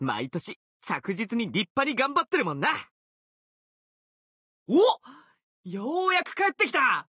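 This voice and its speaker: A man voicing a brash, boastful young sword warrior for an anime-style game, youthful and husky-edged, with cocky, casual boyish speech. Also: high-pitched, wildly swooping pitch, slow, long pauses, no room sound, studio quality, exaggerated voice. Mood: celebratory. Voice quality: youthful, bright, husky edge.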